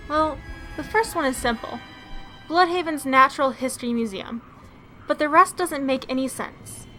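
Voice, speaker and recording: A woman playing a capable, confident young masked crime-fighter and narrating a radio drama in a brisk, matter-of-fact way. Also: high voice, bright, forceful tone, soft background music, low-quality recording. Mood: focused.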